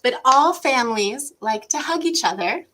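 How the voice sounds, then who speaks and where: Female children's story time host, high and bright, encouraging, speaks simply to little kids. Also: lively intonation, moderate pace, slight background noise, poor audio. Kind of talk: interactive read-aloud for young children.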